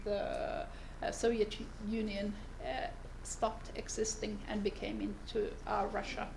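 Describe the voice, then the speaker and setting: A woman from northern Finland giving a seminar presentation, unhurried and pausing often to search for her words. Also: mid-range voice, level tone, gravelly, noisy background. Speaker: seminar speaker, lawyer. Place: seminar room.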